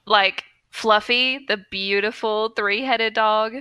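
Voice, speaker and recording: A woman's voice: mid-range voice, fairly even intonation, takes it slowly, sharp tone, smooth, dry recording. A woman co-hosting a podcast in a casual, chatty style. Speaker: podcast co-host.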